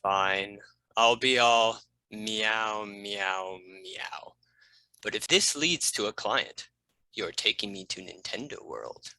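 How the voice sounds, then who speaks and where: Man voicing a slow, piercingly bright cartoon cat. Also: lowish voice, dry recording, heavily acted, poor audio. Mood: grudging. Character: talking cat, wry and mischievous sidekick. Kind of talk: animated comedy table read.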